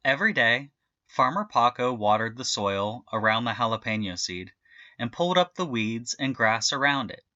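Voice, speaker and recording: A man narrates a children's storybook with bright, expressive energy. He leans on and draws out key words. The sound is close and dry, a little muffled. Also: medium pitch, normal speed, dry recording. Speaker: storybook reader. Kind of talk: animated children's read-aloud narration.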